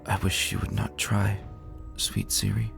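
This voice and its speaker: Male reader as sad pony queen and aunt in fantasy audio story: soft-spoken, measured, regal, grieving. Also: lowish voice, flat delivery, vocal fry, over music. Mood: sad resignation. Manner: hushed, solemn, measured. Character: gentle, sorrowful female royal pony, loving older aunt. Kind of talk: dramatic fan fiction reading.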